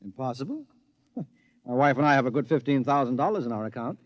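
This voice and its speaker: An actor playing an evasive, impatient suburban husband in an old-time radio drama, hesitant and brusque in turns. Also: male voice, mid-range voice, expressive, brisk, highs cut off, close to an everyday voice. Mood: businesslike.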